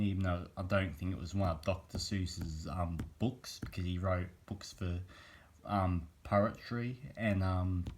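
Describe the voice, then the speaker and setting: Man, 20s, very low voice, croaky with a cold, hesitant casual vlog, phone camera. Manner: hesitant, meandering, many pauses. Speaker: vlogger. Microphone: handheld phone camera.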